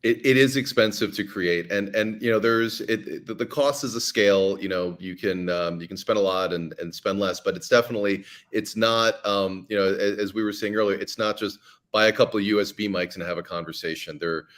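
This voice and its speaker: A man's voice: on the low side, fairly even intonation, brisk, a bit bright. A man, a podcast guest, talking in a casual interview with many fillers and restarts.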